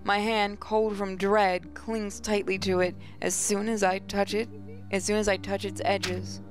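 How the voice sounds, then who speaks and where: A woman's voice: mid-range voice, level tone, a little slow, soft background music. A woman gaming host reads a visual novel aloud as dramatic, emotive first-person narration.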